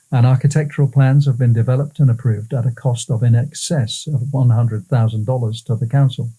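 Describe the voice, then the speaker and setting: Man, very mellow dark tone, husky, scripted formal reading. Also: medium pitch, rough recording. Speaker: reader of community bulletin stories.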